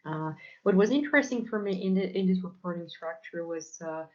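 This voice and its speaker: A woman's voice: lowish voice, animated, brisk, dull audio. A woman with a Polish accent, whose first language is Polish, giving an academic lecture with many fillers and restarts. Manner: hesitant, many fillers and repeated words. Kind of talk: academic conference talk.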